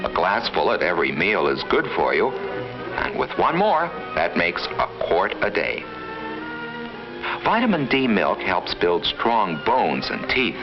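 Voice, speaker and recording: A man's voice: low voice, huge pitch swings, measured pace. A man narrating a 1950s educational film from a script, in an instructive, storytelling manner aimed at children. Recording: muffled vintage 1950s film soundtrack. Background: background music.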